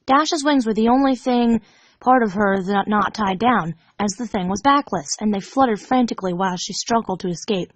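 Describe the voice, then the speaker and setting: A woman reading fan fiction aloud as an expressive storybook narrator, animated and dramatic in her delivery. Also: mid-range voice, moderate pace, no room sound.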